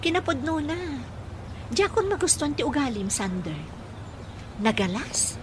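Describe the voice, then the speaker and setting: A woman's voice: high voice, very animated, normal speed, background noise. A woman playing a frank, friendly young job seeker in an Ilocano audio drama, candid and outspoken. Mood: reproachful.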